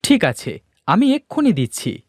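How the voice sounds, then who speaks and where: Animated, obliging village lad acted by a man. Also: high voice, normal speed, no echo, clean studio sound, heavily acted. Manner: obliging, expressive. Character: polite, helpful, hardworking village young man.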